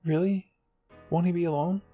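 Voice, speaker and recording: A man voicing a quiet, caring young girl in an anime fan story, soft and questioning. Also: high-pitched, fairly even intonation, normal speed, faint music behind. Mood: curious, caring.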